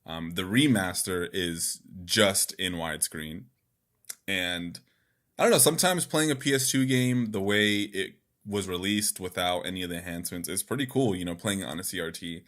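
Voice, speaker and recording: A man's voice: low-pitched, moderate pace, a bit bright. A man co-hosting a podcast in loose, rambling, informal chat, with some swearing. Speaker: podcast co-host. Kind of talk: casual gaming podcast chat.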